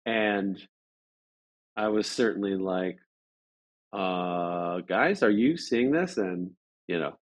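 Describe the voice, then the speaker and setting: A man's voice: deep voice, takes it slowly, long pauses. A man telling an anecdote as an interview guest, casual and agreeable, often stopping to correct himself.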